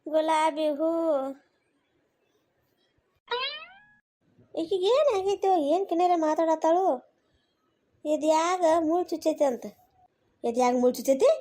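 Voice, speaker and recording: A woman's voice: very high-pitched, normal speed, mellow. A woman voicing a blunt, baffled village mother-in-law in a cartoon comedy, with a North Karnataka accent, nagging with repeated questions. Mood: alarmed, bossy.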